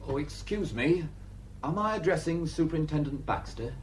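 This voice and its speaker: A man's voice: fairly high pitch, lively intonation, normal speed, slightly rough. A man acting a deferential, plain-spoken village sergeant with a Northern English accent in a radio drama. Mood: deferential, urgent.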